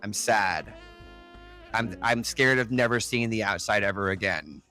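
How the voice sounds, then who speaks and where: Bright-voiced, joking male podcast host. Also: lowish voice, measured pace, soft background music. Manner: effusive, joking. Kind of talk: casual playful interview banter.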